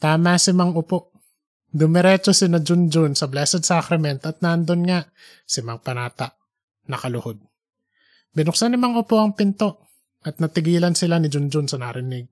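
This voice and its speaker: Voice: mid-range voice, expressive, normal speed, a little mellow. A narrator reading a scripted fictional story for an audiobook podcast.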